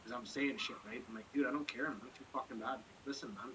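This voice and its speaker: A man rattles off blunt, profane, rambling opinions at a quick clip. He speaks at an ordinary pitch for a man. Clear background noise runs under his voice. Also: fairly even intonation, bright, forceful tone, rough recording. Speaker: podcast co-host. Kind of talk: casual chat.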